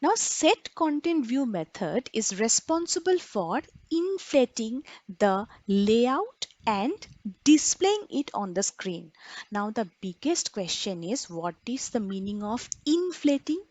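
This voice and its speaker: A woman's voice: a little high, sing-song, takes it slowly, a little mellow, no echo. A woman instructor giving an explanatory lecture in an emphatic, didactic way, often posing questions and answering them herself. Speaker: programming instructor.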